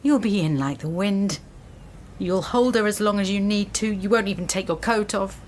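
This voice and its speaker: An actress as a female academic and spurned lover in a naturalistic radio drama, wounded and resentful. Her voice sits at a medium pitch, bitter and articulate, heavy with feeling. Faint noise lies behind the recording. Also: normal speed, close to an everyday voice. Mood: anguished, accusing. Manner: bitter, articulate, emotionally charged.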